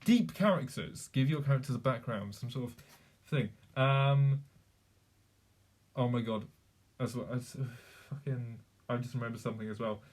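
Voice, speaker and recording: A man's voice: medium pitch, normal speed, darkish tone. A young man chatting casually on a live stream, rambling, swearing freely and laughing often. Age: young adult.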